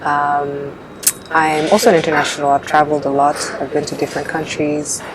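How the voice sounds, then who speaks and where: A young woman in her twenties, born in Uganda, answering interview questions in a relaxed way with frequent fillers. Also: deep voice, a little fast. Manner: relaxed, laughs often, hesitant fillers. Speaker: pageant contestant guest. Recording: noisy background.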